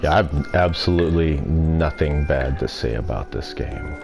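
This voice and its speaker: A young man streaming a game and chatting casually, with a stuffy nose and throat and a rambling, relaxed way of talking. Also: rumbling low, unhurried, music in the background. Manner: relaxed, rambling, self-deprecating. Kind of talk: casual gameplay commentary. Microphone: desk mic.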